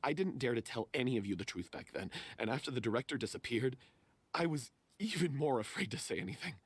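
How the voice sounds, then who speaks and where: A man's voice: medium pitch, lively intonation, fast, breathy edge, no echo. A man playing a guilt-ridden member of a theater troupe, speaking in remorseful, dramatic confession. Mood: anxious, confessional. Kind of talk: dramatic voice acting.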